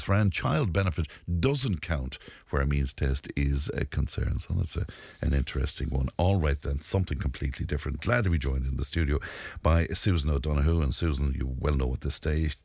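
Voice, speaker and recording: An Irish man hosts local radio from a studio, with a low, settled voice. He talks in an easy, chatty way, using plenty of fillers. The sound is dry and close. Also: no room sound. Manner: relaxed, chatty, warm interviewer with fillers. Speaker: radio show host. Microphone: studio microphone.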